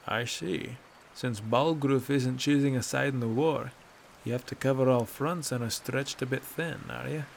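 A man voicing a gruff, jovial male Nord hunter who needles people, raised and lively against his narration. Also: medium pitch, normal speed, a little hiss or hum, close to an everyday voice. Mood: teasing. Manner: boisterous, needling.

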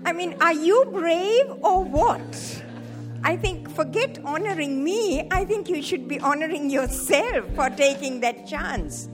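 High-voiced Sri Lankan woman in her seventies. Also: a little slow, over music. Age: 70s. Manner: joking, lively, playful asides to the audience. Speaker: seminar speaker, sex therapist. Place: hall with a live audience.

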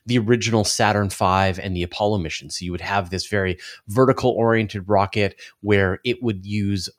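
A man giving explanatory answers as an interview guest on a podcast, halting as he thinks aloud. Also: on the low side, steady tone. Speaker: interview guest, space commentator.

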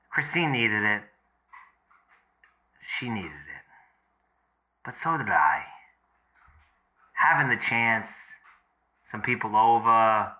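An actor playing an exuberant, sentimental boyfriend who leaves casual, profane, halting phone messages in a naturalistic audio drama. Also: male voice, lowish voice, bright, forceful tone, heavily acted. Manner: casual, swearing, effusive, halting.

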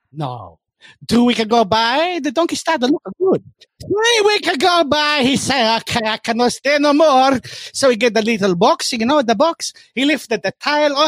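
Guy doing a loud italian dude, broken english, high voice, swoops around a lot. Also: dry recording, heavily acted. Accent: put-on Italian. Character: loud Italian man living with his nonna.

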